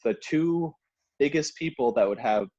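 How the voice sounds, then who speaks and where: A man's voice: medium pitch, animated, measured pace, dark tone, no room sound, dull audio. A man in his twenties in casual chat, stammering and mostly agreeing. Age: 20s. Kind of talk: casual podcast banter.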